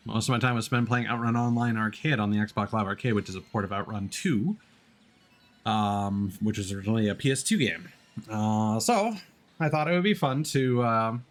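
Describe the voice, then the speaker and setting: A man thinking aloud in an informal, self-deprecating way while commentating on a gaming livestream. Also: medium pitch. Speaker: video game live streamer. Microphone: headset microphone.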